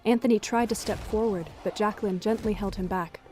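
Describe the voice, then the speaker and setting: A woman reads scripted story narration for an audio drama. Her voice sits at a medium pitch, with music playing softly behind it. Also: fairly even intonation, a little fast.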